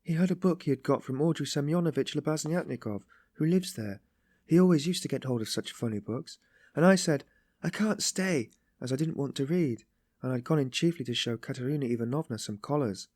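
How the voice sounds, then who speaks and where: Guy doing a distressed young woman in a storybook, meek but passionate, high voice, breathless pleading. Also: fairly quick, a little mellow, no echo, clean studio sound, close to an everyday voice. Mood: tearful. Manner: pleading, breathless exclamations and repetitions.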